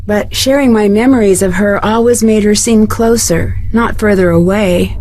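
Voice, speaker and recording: An actress playing an earnest, persistent young detective in a video game, speaking in a plain, questioning way. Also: female voice, mid-range voice, normal speed, a little mellow, lightly acted. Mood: earnest, probing. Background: background music.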